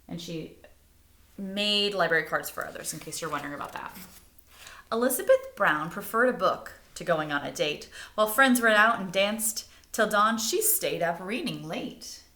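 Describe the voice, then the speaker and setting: A woman reading a picture book aloud like a teacher, expressively, pausing to explain things and put questions to young listeners. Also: medium pitch, lively intonation, sharp tone. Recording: roomy, echoing indoor sound.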